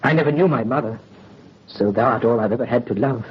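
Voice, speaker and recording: An actor playing a count's devoted, anguished son, earnest and formal, in old-time radio horror style. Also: male voice, medium pitch, fast talker, a little hiss or hum, lightly acted. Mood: devoted, subdued. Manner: earnest, archaic formal diction. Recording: muffled old radio recording.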